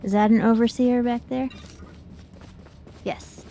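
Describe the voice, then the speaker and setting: A woman's voice: fairly high pitch, steady tone, takes it slowly, mellow, a little hiss or hum. A woman co-hosting a gaming show, chatting casually while she plays.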